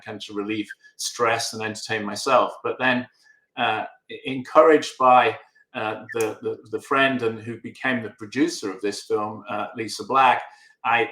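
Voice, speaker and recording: English-accented male director, halting and wry, on a video call from a roomy, echoey space. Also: on the low side, sharp tone. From England. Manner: hesitant, many restarts and fillers. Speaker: filmmaker guest. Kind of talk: remote interview answers.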